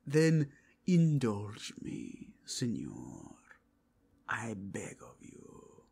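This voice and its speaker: Werewolf inquisitor male performer: slow, gravelly half-whisper, mixed Spanish-tinged foreign accent, formal, zealous, intense ASMR roleplay delivery. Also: lowish voice, wildly swooping pitch, a little mellow, full character voice. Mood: coaxing. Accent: mixed foreign with Spanish touches. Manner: formal, courteous, deliberate, intense. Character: zealous, courtly werewolf inquisitor who sniffs out evil.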